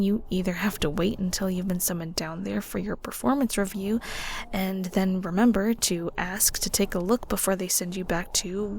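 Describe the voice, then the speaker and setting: A woman playing a shy, curious young ghost in an audio roleplay, hesitant, polite and chatty, with soft breathy edges. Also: medium pitch, a little hiss or hum, close to an everyday voice. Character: shy, curious young female ghost, newly arrived in the underworld. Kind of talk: audio roleplay, intimate conversational acting.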